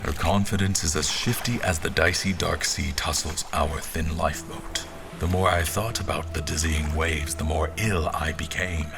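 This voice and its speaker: An actor playing a wry royal officer, giving measured, literary first-person narration of his journey in an audio drama. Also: a bass voice, steady tone, creaky. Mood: wry, descriptive. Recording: music under the narration.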